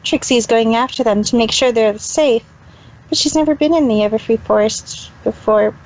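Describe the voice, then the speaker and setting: A woman's voice: a little high, moderate pace, darkish tone, lightly acted, low-quality recording. A woman voicing a proud, easily flustered cartoon pony magician who talks about herself in the third person, in a fan fiction reading. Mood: guilty, resolute. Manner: self-important third-person speech.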